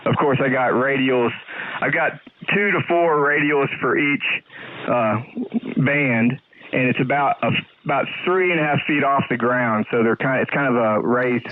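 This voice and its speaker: A man's voice: medium pitch, a little slow. Male ham radio caller, American, plainspoken and a little hesitant, thin band-limited radio sound. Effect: two-way radio. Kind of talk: casual ham radio chat. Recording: narrow tinny ham radio signal, static.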